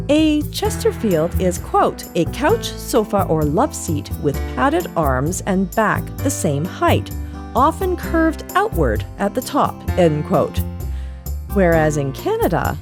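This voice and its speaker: A woman presenting a light scripted podcast segment in a wry, playful manner. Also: medium pitch.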